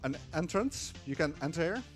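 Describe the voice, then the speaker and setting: Guy casually narrating his game run live, kinda halting, dry jokes, game music behind him. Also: a little high, expressive. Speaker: speedrunner at a gaming marathon. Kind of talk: live speedrun commentary, casual.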